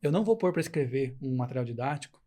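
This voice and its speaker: A man's voice: mid-range voice, animated, fast, dark tone. A man with an accent from the interior of São Paulo state, explaining things emphatically in a casual podcast discussion. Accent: interior São Paulo Brazilian. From interior of São Paulo state, Brazil. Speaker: podcast guest, educational materials producer.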